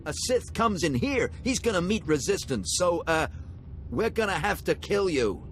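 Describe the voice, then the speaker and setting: A man's voice: high-pitched, very animated, normal speed, soft background music. A man voicing an officious guard captain in a video game. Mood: officious bluster. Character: officious male guard captain, secretly deferential.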